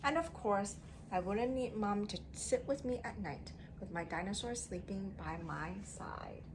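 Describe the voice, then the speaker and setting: A woman teacher reading a story aloud to young children in an expressive way, using simple phrasing and putting questions to them. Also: mid-range voice, a little slow, noisy background. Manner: expressive, emphatic delivery.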